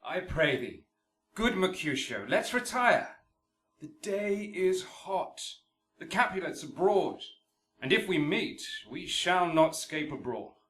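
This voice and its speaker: An actor playing an anxious, sensible young peacemaker in a Shakespearean radio drama, measured and pleading. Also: male voice, high voice, very animated, a bit bright, heavily acted. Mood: wary.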